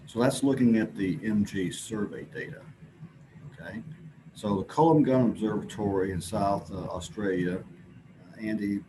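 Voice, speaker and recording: A man presenting scientific slides informally, explaining in a halting, hesitant way with pauses. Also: lowish voice. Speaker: presenter at an astronomy symposium. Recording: clearly noisy recording with background ambience.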